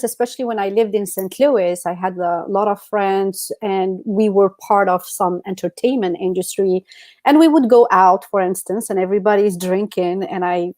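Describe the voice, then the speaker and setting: A woman's voice: medium pitch, darkish tone. A woman co-hosting a podcast, telling personal stories in a casual, emphatic, conversational way full of "you know". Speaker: podcast co-host.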